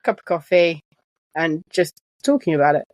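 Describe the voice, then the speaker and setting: A soft-voiced woman chats casually as a podcast co-host, her voice sitting a little low. She rambles with restarts. The recording is close and dry, made on a home sofa. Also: lively intonation, moderate pace, dry recording, highs cut off. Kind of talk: casual chat. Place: living room sofa at home. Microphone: shared desk microphone.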